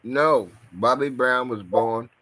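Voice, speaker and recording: A man with an American accent, talking in casual podcast banter, blunt and profane, quick to laugh. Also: medium pitch, fairly bright tone, poor audio.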